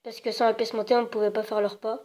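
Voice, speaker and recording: A woman's voice: fairly high pitch, level tone, racing, darkish tone. A woman making a prank phone call as a customer, hesitant and meekly insistent in her manner. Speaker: prank caller posing as a customer. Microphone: telephone. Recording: dull, band-limited phone line.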